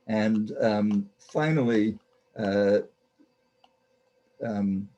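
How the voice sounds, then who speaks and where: A man hosting and narrating a radio play introduction in a deliberate, formally theatrical manner. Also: lowish voice, warm tone, dull audio. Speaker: host, narrator.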